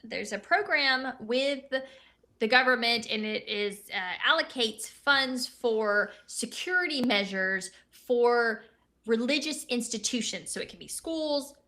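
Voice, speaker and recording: Young woman co-host, high-pitched bright voice, chatty commentary with self-corrections. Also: takes it slowly. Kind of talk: casual political commentary.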